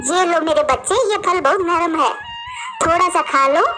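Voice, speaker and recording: A woman's voice: extremely high pitch, moderate pace, music in the background. A woman voicing a tender, anguished mother bird in a cartoon, pleading earnestly.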